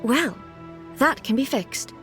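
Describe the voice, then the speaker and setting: A woman playing a composed, curious and gently naive almighty ruler in a video game, with formal, measured speech. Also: fairly high pitch, animated, faint music behind. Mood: calm, assured.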